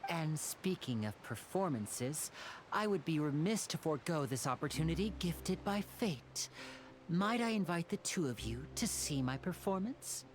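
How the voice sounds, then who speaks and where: A woman's voice: low voice, lively intonation, measured pace, slight background noise. A woman playing a suave, courteous young male stage magician in an anime video game, polished and theatrical. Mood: gracious, inviting.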